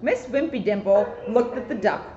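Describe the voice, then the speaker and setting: A woman's voice: mid-range voice, lively intonation, moderate pace, dark tone. A woman police officer reading a picture book aloud expressively, with chatty, casual asides. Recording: roomy echo, muffled, faint noise.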